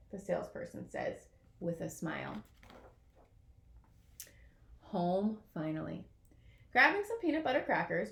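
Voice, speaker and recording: Woman doing a kids book read aloud, expressive, bit of vocal fry, echoey room. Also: mid-range voice. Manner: expressive, addressing young listeners. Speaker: storybook reader.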